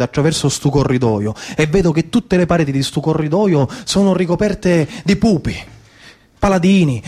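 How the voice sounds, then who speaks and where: A man's voice: sits high, darkish tone. A man in his thirties with a Sicilian accent, performing a colloquial, emphatic theatrical monologue in dialect. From Sicily, Italy. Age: 30s. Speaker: stage storyteller and actor.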